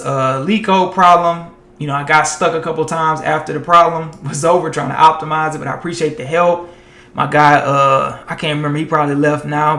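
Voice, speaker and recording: A man streaming tech content live, talking loosely and chatting with viewers, his voice tiring and going hoarse after hours of talk. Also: a little high, a bit bright, echoey room. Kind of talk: casual live-stream chat.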